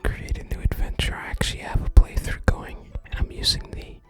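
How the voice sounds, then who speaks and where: A person whispering softly while hosting an ASMR video game playthrough and reading game text aloud. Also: a little fast. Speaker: host of a gaming ASMR channel. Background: game music.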